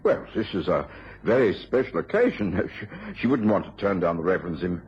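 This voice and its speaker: Man acting a kindly loyal british gent in an old radio drama, lowish voice, very animated, genteel, muffled recording. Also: brisk, a little hiss or hum. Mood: hesitant. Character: kindly, dutiful married English gentleman, loyal friend.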